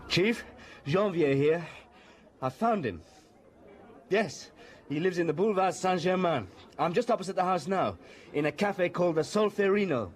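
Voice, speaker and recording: Eager, animated junior police inspector. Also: male voice, high-pitched, moderate pace, long pauses. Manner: polite, keen, respectful. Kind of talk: radio drama acting.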